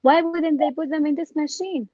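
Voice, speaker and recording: A woman telling a personal story as an interview guest, casually and with animated retelling of exchanges. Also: high-pitched, normal speed, dry recording, lo-fi sound. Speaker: interview guest, scam victim.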